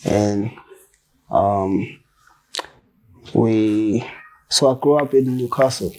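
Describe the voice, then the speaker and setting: Relaxed slangy rapper man, mellow voice. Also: on the low side, very slow, poor audio. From South Africa. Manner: loose, slangy, swears freely, laughs while telling stories. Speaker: rapper, interview guest. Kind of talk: casual interview chat.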